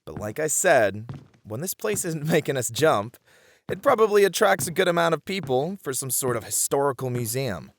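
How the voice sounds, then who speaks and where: Man acting a playful, protective boyfriend, very animated. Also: fairly high pitch, normal speed, a little hiss or hum. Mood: relaxed, chatty. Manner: teasing, chuckling, conversational reassurance to one listener. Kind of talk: ASMR boyfriend roleplay acting.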